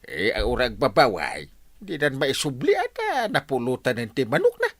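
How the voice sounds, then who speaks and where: A man with an Ilocano accent playing an excitable village captain with a halting, comic delivery in a radio drama. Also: medium pitch, dry recording. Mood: amused.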